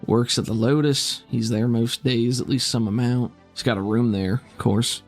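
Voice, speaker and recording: A man voicing a gruff, streetwise invisible woman spy in a tabletop roleplay, talking in a wry, slangy drawl. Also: mid-range voice, steady tone, normal speed, a little mellow, faint music behind, close to an everyday voice. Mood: matter-of-fact. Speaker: game master.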